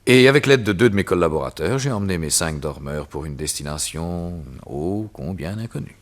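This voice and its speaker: Deep sneering evil doctor guy, swoops all over, old radio drama. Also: lively intonation, normal speed. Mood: gloating. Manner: sneering, theatrical, laughs at his own cruelty. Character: gloating villainous doctor and hypnotist, male, middle-aged. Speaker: villain. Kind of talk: vintage radio drama acting.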